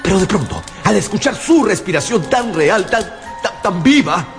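An actor playing a haunted, feverish scientist in a radio drama, confessing in an overwrought, halting, theatrical way. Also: male voice, sits high, sing-song. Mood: horrified, anguished. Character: haunted, feverish male scientist confessing his monstrous creation. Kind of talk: Spanish-language radio drama acting. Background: dramatic music.

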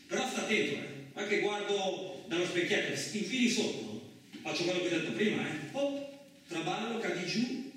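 A man acting in a radio play as a gruff, crude truck driver who tells his story in blustering, rambling, colloquial speech. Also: sits high, lively intonation, moderate pace, a bit bright. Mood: irritated, threatening. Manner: blustering, rambling, conversational, mildly swearing. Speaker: actor in a solo radio play. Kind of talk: colloquial theatrical radio monologue. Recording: roomy, echoing distant miking with faint noise.

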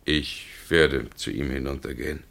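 An actor playing a troubled, hesitant man in a radio play, speaking in a halting, solemn, stylised way. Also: rumbling low, steady tone, vocal fry, fairly bright tone, full character voice. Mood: resigned. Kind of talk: classic radio play acting.

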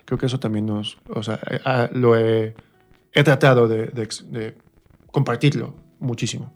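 A man's voice: mid-range voice, steady tone, moderate pace. A man with a Mexican accent talking informally and reflectively as a guest in an interview. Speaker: interview guest, stage actor. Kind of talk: casual interview conversation.

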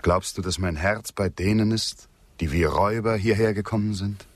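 Man playing earnest loyal frontier guy in a radio play, deep grave voice, poetic lines, no room sound. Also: normal speed, no echo, natural voice. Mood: troubled, questioning. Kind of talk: German radio drama acting.

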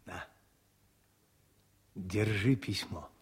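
A man's voice: fairly low pitch, very slow, breathy edge, exaggerated voice. An actor playing a battalion commissar in a Soviet radio play, authoritative and fatherly, speaking in short clipped phrases. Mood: fatherly concern. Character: army newspaper chief, battalion commissar, brisk but fatherly man.

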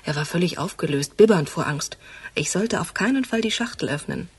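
A woman with a husky voice acting a familiar, plain-spoken confidante in a radio crime drama, direct and matter-of-fact. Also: fairly low pitch, expressive, fairly quick, heavily acted.

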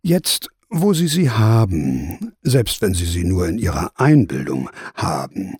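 A raspy, sardonic inner voice. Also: male voice, low-pitched, big pitch swings, slow pace, a little mellow, no room sound, studio quality, heavily acted. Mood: scornful. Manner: sneering, theatrical, grandiloquent rants. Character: sardonic inner counter-consciousness of an old writer, scolding and mocking him. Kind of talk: modern German radio play.

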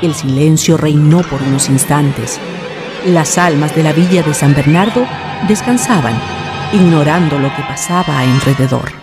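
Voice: mid-range voice, a little slow. A narrator reading a horror story in a formal, ornate literary style. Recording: music behind the voice.

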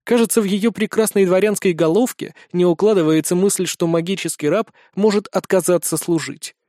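Audiobook narrator, male, notably high voice, expressive first-person storytelling, dry close recording. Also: moderate pace, no echo.

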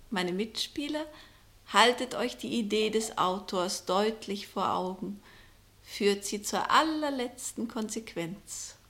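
A solemn, oracular fortune-teller. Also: female voice, medium pitch. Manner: declamatory, poetic, oracular, dropping into hushed breathy tones. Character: mysterious fortune-teller woman casting spells, solemn and knowing. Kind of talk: 1960 German radio play acting. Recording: vintage 1960 radio drama recording.

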